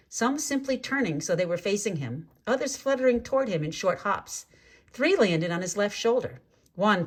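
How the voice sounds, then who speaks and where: A woman reading a children's story aloud in an expressive, deliberate way with dramatic phrasing. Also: on the low side. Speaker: storybook reader. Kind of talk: read-aloud children's story narration with asides.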